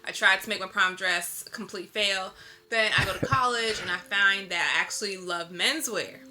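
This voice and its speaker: A woman's voice: mid-range voice, normal speed, sharp tone. A woman being interviewed, answering casually in a candid, reflective and informal storytelling way. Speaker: interviewed artist.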